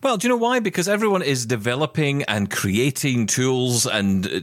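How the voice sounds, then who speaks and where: A man's voice: medium pitch, lively intonation, moderate pace, dry recording, studio quality. A Scottish man co-hosting a tech podcast, chatty and joking with plenty of fillers. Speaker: podcast co-host. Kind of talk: casual tech podcast chat.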